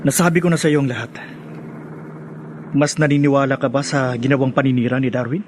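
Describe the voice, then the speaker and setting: A man's voice: mid-range voice, fairly even intonation, fairly quick, a little hiss or hum, close to an everyday voice. An actor playing an aggrieved, burdened man defending himself dramatically in a Filipino radio drama. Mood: hurt, defensive.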